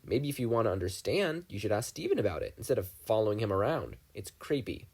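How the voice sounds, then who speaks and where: A man's voice: fairly low pitch, very animated, fast talker, darkish tone, breathy edge, heavily acted. Man doing a blunt teenage girl. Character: cheerful girl, a young teen, dismissive. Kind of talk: fan fiction audiobook reading.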